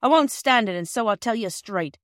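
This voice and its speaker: Give a woman playing a proud working-class older man in a storybook, gruff and loud. He speaks in blunt dialect. The recording is dry and close. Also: medium pitch, steady tone, fairly quick, no echo, lightly acted. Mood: angry.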